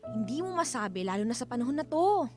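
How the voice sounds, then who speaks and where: A woman's voice: on the high side, sing-song, fast, faint music behind. A woman acting a wary, fretful wife in a Filipino radio drama. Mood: suspicious, agitated. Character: wary, fretful wife Thelma, anxious about strangers.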